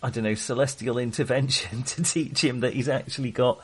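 A man's voice: medium pitch, brisk, breathy edge. A man co-hosting a casual film discussion, thinking aloud and often interrupting himself. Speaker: podcast co-host discussing films.

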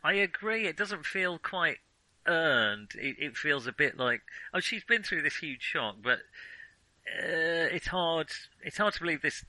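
Very bright-voiced man thinking aloud. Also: fairly high pitch, animated, measured pace, no echo, rough recording. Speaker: podcast co-host. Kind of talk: casual film discussion.

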